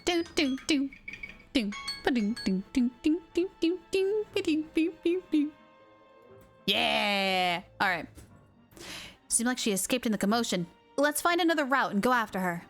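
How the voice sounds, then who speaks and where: A woman live streamer giving casual, playful game commentary in a chatty, jokey, excitable way. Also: a little high, measured pace. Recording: game music behind.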